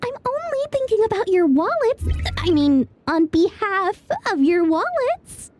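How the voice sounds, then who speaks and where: A woman's voice: extremely high pitch, sing-song, measured pace, a little mellow, slight background noise, heavily acted. A woman voicing a greedy, chatty young female merchant in a video game, talking in a theatrical, salesy way. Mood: flustered. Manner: theatrical, salesy, self-promoting. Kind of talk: video game character acting. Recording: music under the voice.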